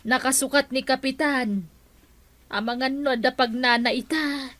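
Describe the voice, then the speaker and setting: An actress with a Filipino Ilocano accent playing a chatty, coaxing village neighbour in a radio drama. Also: female voice, sits high, fairly even intonation, unhurried, a bit bright, no echo. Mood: concerned.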